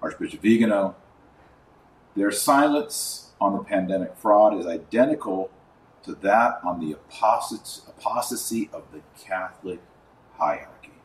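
A man hosting a podcast, giving live commentary and reading aloud in an emphatic, colloquial manner with dramatic pauses. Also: on the low side, animated. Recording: roomy, distant mic, faint background noise.

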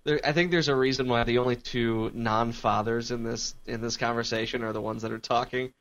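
Man, gravelly voice, dry wit, dull lo-fi sound, podcast co-host. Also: mid-range voice, fairly even intonation, fairly quick, fairly bright tone. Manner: dry, wry quips. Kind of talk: casual tech podcast chat.

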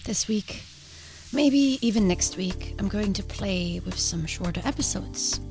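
A woman hosting her own podcast, talking in a calm, earnest and reflective way, with a congested voice from a sinus infection. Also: medium pitch, unhurried, soft background music. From outside the country she now lives in. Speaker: podcast host. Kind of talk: reflective solo podcast monologue.

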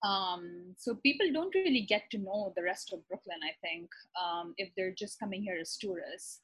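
A woman's voice: medium pitch, animated, moderate pace, a bit bright, husky, poor audio. A woman in her thirties from Pakistan with a Pakistani accent, a physician talking casually in an interview, thoughtful, with many fillers and restarts. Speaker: physician, guest.

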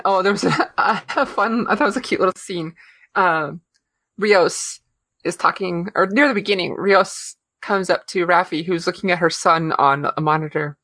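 A woman's voice: fairly low pitch, a bit bright, dry recording. A woman co-hosting a podcast, chatting casually, with frequent laughs, fillers and restarts. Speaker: podcast co-host. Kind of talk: casual chat.

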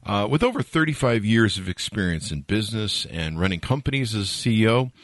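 A man's voice: fairly low pitch, lively intonation, a little fast, no echo, studio quality. A man hosting a podcast, talking casually with rambling asides and many fillers. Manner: rambling, chatty, many "you know" fillers. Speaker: podcast host. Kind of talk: casual interview chat with an ad read.

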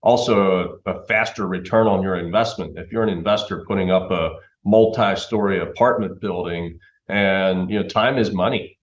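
A man's voice: low-pitched, moderate pace, hardly any pauses. A middle-aged man explaining things as an interview guest, over a dull, roomy remote connection. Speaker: interview guest, timber framing company manager.

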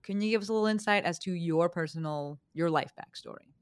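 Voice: medium pitch, fast. A podcast host asking questions in an upbeat, affirming way. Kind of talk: casual interview talk.

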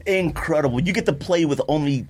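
A man's voice: on the high side, fairly quick, a little mellow, husky. A middle-aged man co-hosting a podcast, chatting casually in slang, stating opinions emphatically and repeating himself to drive them home. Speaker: podcast co-host counting down a list.

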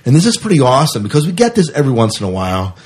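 A man's voice: medium pitch, wildly swooping pitch, rapid-fire, husky. A man co-hosting a podcast in casual, informal chat, with an enthusiastic manner. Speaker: podcast co-host.

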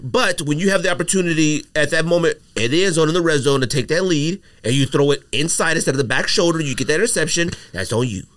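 A man's voice: fairly high pitch, fast, few pauses, a bit bright, no room sound. A man co-hosting a casual sports podcast, talking in an emphatic, argumentative, slangy way. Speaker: podcast co-host.

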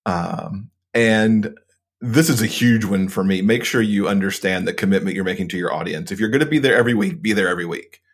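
Husky, low-voiced man giving podcast advice. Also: fairly quick. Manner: practical, advice-giving, frequent ums. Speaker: podcast guest and producer. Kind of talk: casual interview chat.

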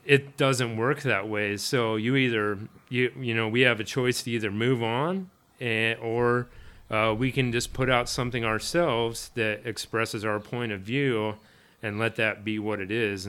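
A man's voice: on the low side, pushed and bright, clean tone. A man in a casual interview, a firefighter speaking reflectively, rambling with fillers and restarts. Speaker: firefighter guest.

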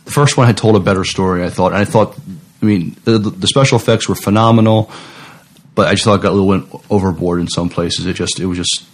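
A man co-hosting a podcast, chatting casually in a measured, somewhat tentative way and at times reading news copy. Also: deep voice. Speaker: podcast co-host.

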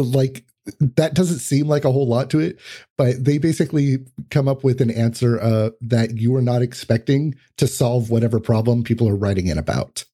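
Mellow dark voiced guy, medium pitch, podcast guest just casually explaining stuff.

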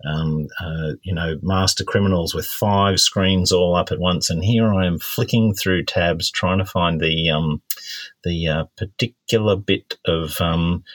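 A man's voice: deep voice, fairly bright tone, no echo, poor audio. A man co-hosting a podcast, joking with his co-host in a dry, teasing way. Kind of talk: casual chat.

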